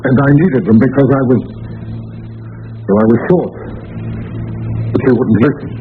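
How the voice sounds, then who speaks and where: An actor playing a desperate, self-justifying wife killer in an old-time radio drama, halting and defensive, with menace. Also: male voice, mid-range voice, slight background noise, lightly acted. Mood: halting confession. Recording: tinny vintage radio recording, music.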